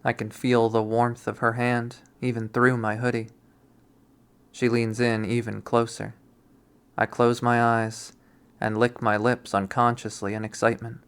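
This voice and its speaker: A man's voice: mid-range voice, monotone, unhurried, clear, resonant tone. A young man reading a visual novel aloud in first person, with a dry, wry delivery.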